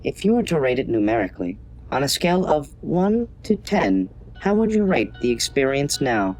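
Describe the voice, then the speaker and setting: A man's voice: medium pitch, big pitch swings, normal speed, a little mellow, soft background music, rough recording. A man voicing a polite but obtuse customer-service AI in an audio drama, formal, scripted and impassive. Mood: politely scripted. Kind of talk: automated assistant in audio drama.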